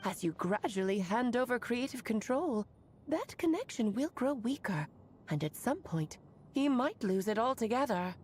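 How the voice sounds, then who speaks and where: An actress playing a playful, affectionate magical woman in a video game, with theatrical, expressive phrasing. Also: on the high side, normal speed, a little hiss or hum. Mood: cautioning. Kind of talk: scripted video game voice acting.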